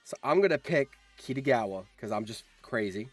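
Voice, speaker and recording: A man's voice: mid-range voice, expressive, fairly quick, no echo, soft background music. A man hosting a livestream, chatting casually with viewers and thinking aloud, often repeating his phrases. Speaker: livestream host.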